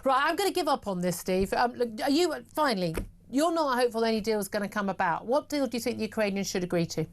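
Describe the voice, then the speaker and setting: A woman's voice: fairly high pitch, animated, fast talker. A woman presenting a heated TV panel debate, combative and exasperated, often cutting in.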